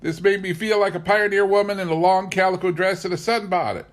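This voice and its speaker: Man as a fretful, high-pitched old granny. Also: fairly even intonation, fairly quick, natural voice. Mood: anxious warning. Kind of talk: audiobook character voice.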